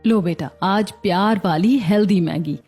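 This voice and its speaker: A woman's voice: mid-range voice, measured pace, darkish tone, faint music behind, lightly acted. A woman playing a caring, sensible young mother and daughter-in-law in a cartoon family drama. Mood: affectionate.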